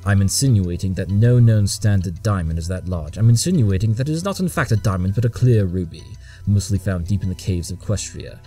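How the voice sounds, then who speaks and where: Man acting as brilliant male detective, dark low voice, imperious, smug, impatient, audio drama delivery. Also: animated, a little fast, few pauses, faint music behind. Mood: impatient, accusing. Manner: clipped deductive monologues, condescending.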